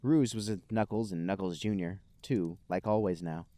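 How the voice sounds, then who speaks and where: A man's voice: low voice, normal speed, mellow, no echo. A man hosting a comedy podcast, reading fan fiction aloud with mock-dramatic storytelling and casual chat.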